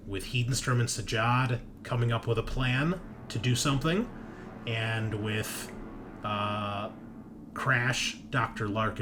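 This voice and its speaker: A man speaking as a game master, recapping a tabletop roleplaying session in a dramatic storytelling manner. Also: fairly low pitch.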